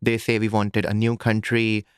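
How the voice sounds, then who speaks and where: A man's voice: lowish voice, completely flat delivery, normal speed, smooth, no room sound, clean studio sound. A man in his twenties from Pakistan, with a Pakistani accent, telling his own story as a podcast guest and repeating phrases for emphasis. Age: 20s. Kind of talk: recounting personal story in an interview.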